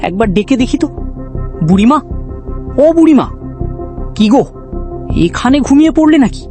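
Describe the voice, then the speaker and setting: Woman playing kindly male woodcutter, exclaiming and questioning, very lively pitch, folk-tale story over music. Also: moderate pace. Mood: puzzled, concerned. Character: kindly, concerned village woodcutter, a man.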